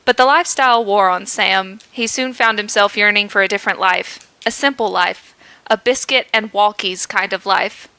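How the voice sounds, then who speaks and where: A woman's voice: mid-range voice, moderate pace, pushed and bright, clean tone, no echo. A woman hosting a podcast, reading a comic story aloud in a playful way and laughing at the text, into a podcast microphone. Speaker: podcast host.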